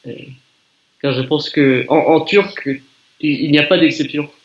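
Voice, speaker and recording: A man from France chatting in a relaxed, talkative way with a language learner over a video call. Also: a little high, unhurried, lo-fi sound. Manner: relaxed, chatty, with some restarts. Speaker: conversation partner. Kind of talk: casual conversation.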